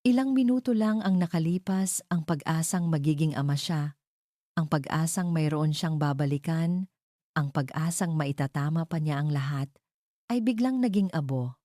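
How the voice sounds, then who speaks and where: A woman narrating a scripted love story in a deliberate, melodramatic way. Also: deep voice, dark tone, dry recording, clean studio sound.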